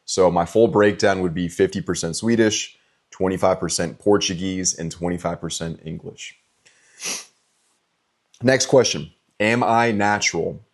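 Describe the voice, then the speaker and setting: Deep-voiced young man chatting casually. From California, USA. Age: 20s. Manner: relaxed, chatty, frequent ums and likes. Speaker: vlogger answering fan questions. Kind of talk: casual Q&A vlog.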